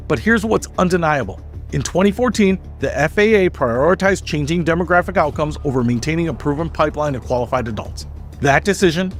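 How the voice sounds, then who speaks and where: Guy with a slightly high voice reading an explainer script, emphatic and measured, faint music behind. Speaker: narrator. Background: background music.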